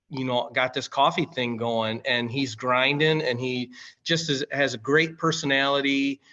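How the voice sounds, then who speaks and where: A man in his late forties with an American accent and a bright, forceful voice. He tells work stories in a casual, familiar way, as a guest in conversation. His pitch sits in the middle of the male range. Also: lo-fi sound. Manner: colloquial, familiar, calls listener man and brother. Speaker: podcast guest, firefighter and coffee company director.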